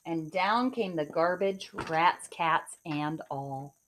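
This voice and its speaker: A woman in her forties, a teacher, reading a rhyming picture book aloud in a sing-song, expressive way at home into a webcam. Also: low-pitched, slow, slight background noise. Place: home living room.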